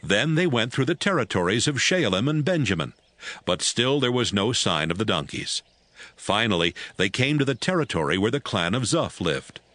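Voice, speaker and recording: A male narrator reads scripture with a fairly low voice. His measured storytelling has lively, expressive intonation. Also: no echo.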